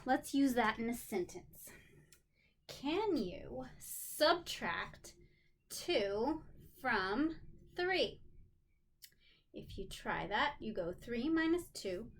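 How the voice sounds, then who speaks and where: A woman with a fairly high voice teaches young children in an exclamatory, sing-song manner. Her pitch rises and falls widely and she speaks very slowly, as a teacher leading a lesson would. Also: slight background noise. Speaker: special education teacher. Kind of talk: children's lesson and read-aloud.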